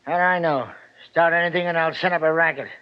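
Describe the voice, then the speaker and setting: A man acts out a scrappy little Western braggart of about fifty in a radio play. His voice sits slightly high, and he talks in suspicious, folksy, clipped boasts. The vintage recording is muffled. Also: fairly even intonation, fast, clear, resonant tone, lightly acted. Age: 50s. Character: cocky, wary little old cowboy-talking braggart. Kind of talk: 1940s radio acting.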